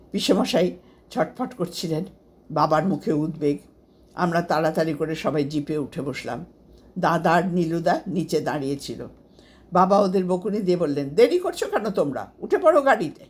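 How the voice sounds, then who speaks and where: Low, husky woman reading a story. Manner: expressive, acts out quoted dialogue. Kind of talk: scripted first-person story narration.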